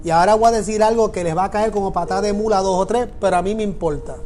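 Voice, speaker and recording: A man's voice: sits high, very fast, darkish tone, soft background music. A middle-aged man from Puerto Rico with a Puerto Rican Spanish accent, preaching a sermon and repeating phrases for emphasis. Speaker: pastor.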